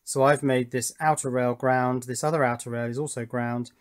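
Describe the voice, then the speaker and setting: A man's voice: mid-range voice, fairly even intonation, moderate pace. A man hosting a hobby electronics video, talking through his build unscripted in a matter-of-fact, technical and self-critical way. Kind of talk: unscripted workbench explanation.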